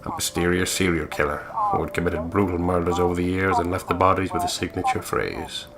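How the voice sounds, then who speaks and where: A man's voice: deep voice, steady tone, brisk. A man co-hosting a let's play, reading game text aloud in a playful, theatrical way with sweary asides.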